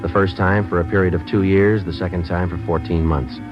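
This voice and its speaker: An actor in 1950s radio drama playing a police sergeant who narrates and questions in a clipped, matter-of-fact way. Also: male voice, sits low, steady tone, brisk, natural voice. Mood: terse. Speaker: detective narrator. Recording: muffled old radio broadcast with music.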